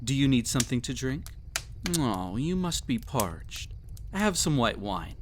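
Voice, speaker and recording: A man's voice: mid-range voice, sing-song, background noise. A man playing a cruel, possessive emperor with a haughty, silky, condescending manner in a scripted roleplay addressed to the listener. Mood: doting, mocking. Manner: haughty, silky, condescending, theatrically drawn-out delivery. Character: cruel, possessive, mocking young emperor pampering a captive pet.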